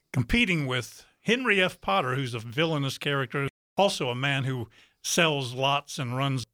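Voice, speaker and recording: A man's voice: mid-range voice, bright, forceful tone, dry recording. An American man speaking as a theatre guest in a radio studio, explaining things conversationally. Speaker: theatre player, interview guest.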